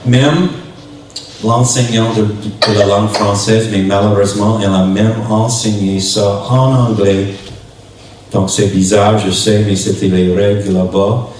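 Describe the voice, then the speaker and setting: A man from New Brunswick, a native English speaker with an English-speaking Canadian accent, preaches as a visiting pastor in a halting way, in short phrases, searching for words. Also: on the low side, fairly even intonation, darkish tone. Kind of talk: sermon. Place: church hall during a worship service. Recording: echoey hall, distant mic, faint noise.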